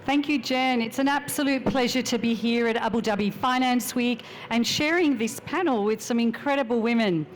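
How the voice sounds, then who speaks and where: A woman giving a measured, formal introduction as a panelist at a finance conference. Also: on the high side, low-quality recording. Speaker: panelist, strategic advisor.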